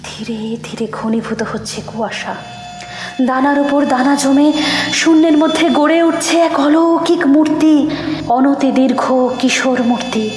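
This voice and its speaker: A woman narrating a horror audio story in an expressive, suspenseful way, sometimes dropping to a whisper. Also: sits high, normal speed. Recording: echoey reverberant studio mix with music.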